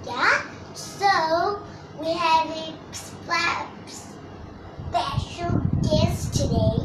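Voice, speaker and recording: High-pitched young child, slow and halting. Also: animated. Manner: simple, halting, childlike phrasing. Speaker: child host. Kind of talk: casual unscripted chat. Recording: roomy, distant mic, clear background noise.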